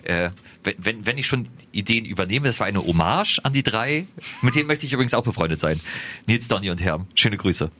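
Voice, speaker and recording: A man's voice: on the low side, fairly quick. A young man from Germany co-hosting a casual podcast chat and telling stories with many restarts. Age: young adult. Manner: lively, animated storytelling with many restarts. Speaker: podcast co-host.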